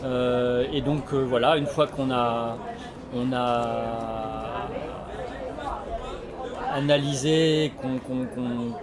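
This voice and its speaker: A man of average pitch gives explanatory interview answers as an association representative. He speaks haltingly, often searching for his words. A very loud crowd fills the background. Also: steady tone. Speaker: energy renovation association representative. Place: community associations forum in a sports hall. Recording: loud crowd hubbub at a fair.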